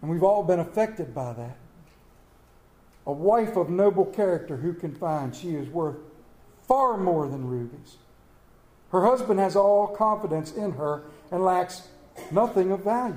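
Folksy high-voiced preacher in an echoey church. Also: male voice, a little mellow. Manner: folksy, storytelling preacher with dramatic pauses. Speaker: pastor. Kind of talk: Mother's Day sermon. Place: church sanctuary.

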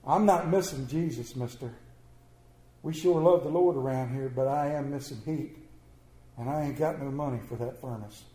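A man voicing a worn-out poor mother who speaks low and plainly. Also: normal speed, warm tone, reverberant, natural voice. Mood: weary.

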